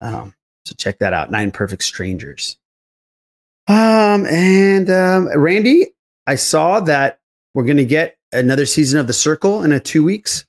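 A man's voice: on the high side, very animated, a little slow. An enthusiastic man hosting a casual podcast chat, repeating himself for emphasis. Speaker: podcast host.

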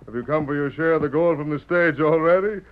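A man's voice: fairly high pitch, quick pace, natural voice. Guy doing a smug bandit boss in an old radio western, sardonic and bossy, muffled sound. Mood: mocking, amused. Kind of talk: old-time radio western villain acting. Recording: dull vintage radio recording.

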